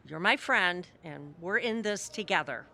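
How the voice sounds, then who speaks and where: Radio comedy part: sheepish, loyal suburban mom, female actor, bright and expressive, defensive but earnest. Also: fairly low pitch, measured pace, full character voice. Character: tipsy-night-out suburban mom, apologetic and loyal friend. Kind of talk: audio theatre comedy acting.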